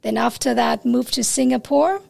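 A woman's voice: on the high side, moderate pace, vocal fry, a little mellow. A woman in her forties from Vietnam, speaking English with a Vietnamese accent, hesitant and plain as an interview guest. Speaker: insurance executive, guest.

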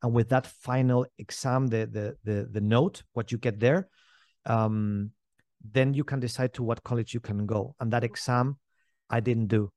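A man's voice: mid-range voice, steady tone, moderate pace, darkish tone, no room sound. Man, dry close sound. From Spain. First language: not English. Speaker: podcast guest, entrepreneur. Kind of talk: casual interview storytelling.

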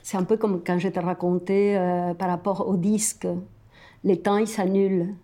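A woman's voice: lowish voice, flat delivery, moderate pace, mellow. A woman from Argentina, a native Spanish speaker with an Argentine accent, talks reflectively and personally to a companion, often searching for words.

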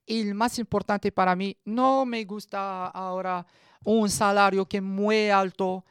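A woman in her thirties with a Moroccan accent gives a personal testimony, haltingly searching for words in a language that is not her first. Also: mid-range voice, steady tone, clean tone, no room sound, clean studio sound. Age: 30s. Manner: halting, searching for words in non-native Spanish. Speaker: radio guest giving a personal testimony.

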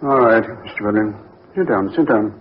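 A man's voice: fairly low pitch, moderate pace, close to an everyday voice. An actor playing a fussy, ailing elderly rich man in an old radio drama. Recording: dull, noisy vintage radio recording.

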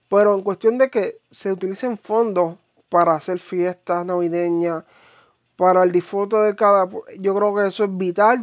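A Puerto Rican Spanish speaker giving a casual, opinionated monologue to viewers, informal and halting, with slang and English fillers mixed in. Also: medium pitch, dry recording. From Puerto Rico. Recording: dull, lo-fi, dry sound.

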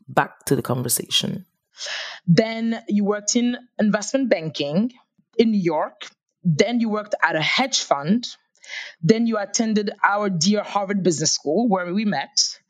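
A woman from Africa hosts a podcast interview, talking in an eager, effusive way. She speaks over a video call, often restarting her sentences as she goes. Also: on the low side. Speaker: podcast host.

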